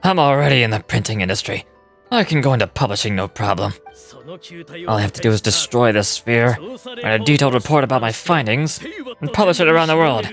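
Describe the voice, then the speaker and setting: Pompous scheming merchant villain over music. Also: male voice, mid-range voice, animated, brisk, a bit bright. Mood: smug, scheming. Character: scheming, pompous male merchant villain, desperate and self-serving. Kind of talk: video game voice acting.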